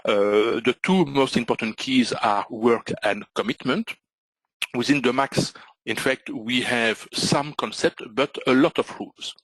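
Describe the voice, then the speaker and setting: A man's voice: medium pitch, a bit bright, no room sound, poor audio. Belgian man presenting, full of fillers. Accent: Belgian French. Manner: halting, many "uh" and "so" fillers. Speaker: trading instructor. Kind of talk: webinar presentation.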